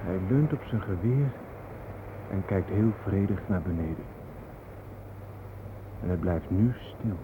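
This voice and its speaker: A man's voice: deep voice, expressive, slow, long pauses. An actor playing an uneasy male visitor to old battlefields in a radio play, hesitant and full of questions. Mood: curious. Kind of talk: naturalistic radio play acting. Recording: noisy narrow-band old radio recording.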